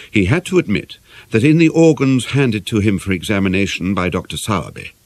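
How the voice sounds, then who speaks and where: A man reading a novel as an audiobook narrator, in a measured, formal and expressive way. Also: medium pitch. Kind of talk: scripted narration of a murder mystery novel.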